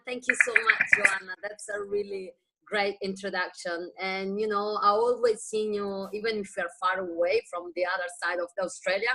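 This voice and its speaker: Italian lady on a video call, excited, bit broken english, tells her story. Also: medium pitch, vocal fry, low-quality recording. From Italy. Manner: warm, enthusiastic, a little broken English. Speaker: guest speaker on a group video call. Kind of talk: personal success story.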